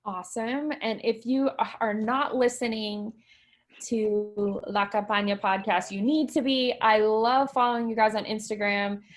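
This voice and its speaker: A woman's voice: a little high, steady tone, unhurried. A woman moderating an online alumni panel over video call, enthusiastic and encouraging as she introduces guests. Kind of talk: upbeat online panel hosting.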